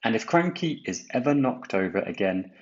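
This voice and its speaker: A man reading a children's storybook aloud, with deliberate, dramatic emphasis. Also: lowish voice, big pitch swings, lo-fi sound. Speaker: storybook reader. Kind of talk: expressive children's read-aloud narration.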